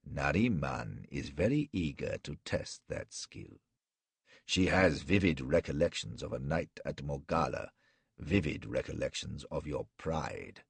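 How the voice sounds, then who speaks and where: A slow gloating warlord, as a man puts him on. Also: a bass voice, lively intonation, gravelly, breathy edge, dry recording, exaggerated voice. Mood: menacing. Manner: slow, gloating, measured threats. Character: menacing cruel male warlord, cold and mocking. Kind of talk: audiobook character reading.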